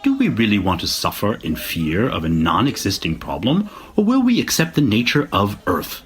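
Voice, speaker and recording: An actor playing a rousing politician giving an emphatic, theatrical speech in an audio drama. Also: male voice, on the low side, wildly swooping pitch, moderate pace, faint music behind. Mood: solemn, rousing. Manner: oratorical, emphatic. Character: rousing male politician addressing a European crowd.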